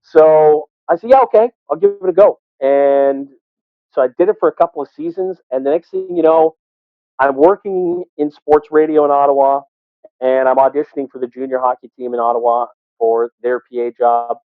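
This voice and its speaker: A man's voice: mid-range voice, normal speed, dry recording. A man answering interview questions candidly and reflectively, as a sports announcer telling his story. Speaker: sports public address announcer. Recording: tinny, phone-like call audio.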